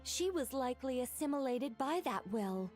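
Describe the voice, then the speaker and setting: An actress playing a serene, gracious guide in a video game, speaking in a formal, gently solemn way over music. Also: female voice, high-pitched, animated, moderate pace. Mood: solemn, explaining. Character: serene, wise, gracious female guide of divine lore.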